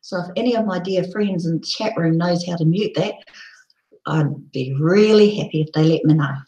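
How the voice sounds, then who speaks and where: Woman, croaky hoarse voice, lowish pitch, rambling with ums, roomy echo. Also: moderate pace, mellow. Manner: rambling, many ums and restarts. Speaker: host of a live broadcast. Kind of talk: casual live-stream chat.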